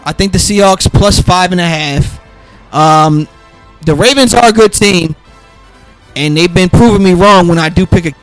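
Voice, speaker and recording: Sick raspy guy doing his podcast solo, kinda high voice, lots of ums, faint music behind. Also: moderate pace, low-quality recording. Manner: loose, rambling, many fillers and restarts. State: under the weather, raspy voice. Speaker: podcast host making football picks.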